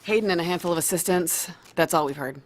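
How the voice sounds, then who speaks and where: An actress playing a brisk, bossy, no-nonsense female military second-in-command in an audio drama. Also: on the low side, lightly acted. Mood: matter-of-fact.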